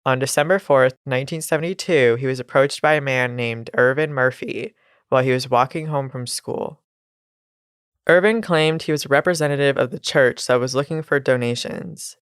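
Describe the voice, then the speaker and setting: A woman's voice: very deep voice, moderate pace, clear, resonant tone, no room sound, studio quality. A nasal, congested woman telling a true crime story on a casual podcast, with laughs and asides. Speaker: podcast co-host.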